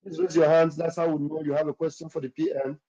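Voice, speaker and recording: Firm moderator, mellow voice, lo-fi. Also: male voice, fairly high pitch, flat delivery, quick pace. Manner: firm and instructive, repeating directions. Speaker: chat room moderator. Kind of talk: live audio chat room. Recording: lo-fi online audio stream.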